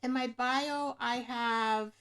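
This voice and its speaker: A woman chats through a craft livestream in a slightly higher voice, taking her time and often pausing to find the right word. She speaks slowly and casually at her desk at home. Her voice is picked up by a webcam microphone above her screen. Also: steady tone, a bit bright. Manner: rambling, searches for words.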